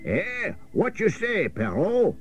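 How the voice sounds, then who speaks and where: A man's voice: sits high, wildly swooping pitch, slow pace, faint music behind, voice pushed up, exaggerated voice. A man voicing a gleeful French-Canadian sled dog driver, exclaiming in broken English in a storybook reading. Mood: amazed, exclaiming. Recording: dull old radio cassette.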